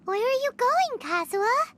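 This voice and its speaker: A woman voicing a small, childlike, curious fairy companion in a video game, squeaky and innocent. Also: very animated, normal speed, noisy background. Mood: concerned. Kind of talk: video game character acting.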